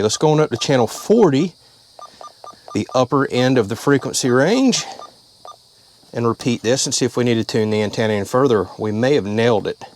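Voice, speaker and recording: A man with a drawl walks through a hands-on job step by step, speaking plainly and at an easy pace. His voice sits at an ordinary middle pitch, and the sound is that of someone talking inside a vehicle. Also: darkish tone, low-quality recording. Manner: plain-spoken, step-by-step explaining. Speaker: channel host. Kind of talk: casual how-to vlog.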